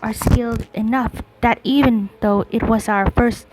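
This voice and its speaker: A woman playing a thoughtful, gentle young adventurer in an anime game, talking in a calm, considerate way. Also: on the high side, a little slow, noisy background, natural voice. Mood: narrating. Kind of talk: anime game voice acting and narration.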